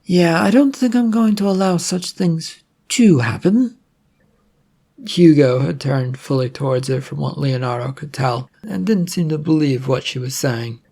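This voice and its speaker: Audiobook narrator for adventure fiction. Gravelly, husky tone, mid-range pitch. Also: mellow. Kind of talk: scripted narration of an adventure story.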